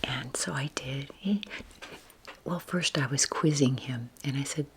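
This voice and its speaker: An older woman in her sixties whispers softly and breathily, rambling informally and unhurriedly in a relaxation ASMR style, with a self-deprecating manner. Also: extremely low pitch, a little slow, background noise. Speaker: YouTube video creator.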